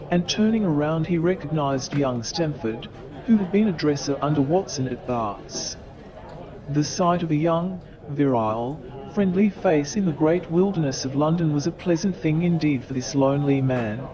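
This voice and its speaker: Narrator: male, fairly high voice, theatrical and deliberate storytelling, crowd sound behind. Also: muffled recording.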